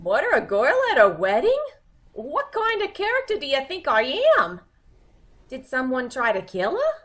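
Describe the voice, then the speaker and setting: A woman's voice: high voice, huge pitch swings, normal speed, a bit bright, exaggerated voice. A woman playing a tough, slangy, lovesick would-be killer in an old-time radio comedy mystery, with melodramatic delivery. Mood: scornful. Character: cute, tough, lovesick female would-be killer, excitable gangland moll.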